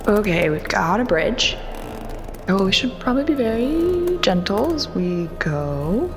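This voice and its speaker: A woman playing a tabletop roleplaying game, improvising casually in halting, informal phrases over a cinematic score. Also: mid-range voice, sing-song, over music.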